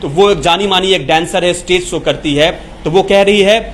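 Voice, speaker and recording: A man with a fairly high voice delivers unscripted entertainment news to his viewers. He phrases things emphatically and repeats himself, often stopping to restart a phrase. Light noise sits behind his voice. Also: moderate pace, a bit bright. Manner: repetitive, emphatic phrasing with rhetorical questions. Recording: clear background noise.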